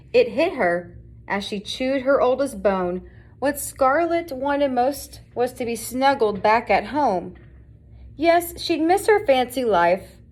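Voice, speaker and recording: A woman with a fairly high voice narrates a children's storybook. She is reading aloud from a scripted tale meant for young listeners. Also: darkish tone. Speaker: storybook reader.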